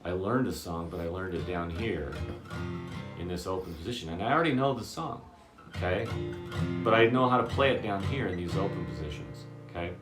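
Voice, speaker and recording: A man with a very low voice giving a casual guitar lesson, checking in often with "okay?" and "does that make sense?". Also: fairly quick, roomy sound. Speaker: guitar teacher.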